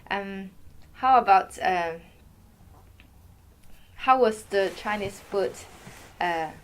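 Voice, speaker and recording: A woman's voice: medium pitch, extremely slow. A woman interviewing, asking her questions hesitantly with fillers. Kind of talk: oral history interview.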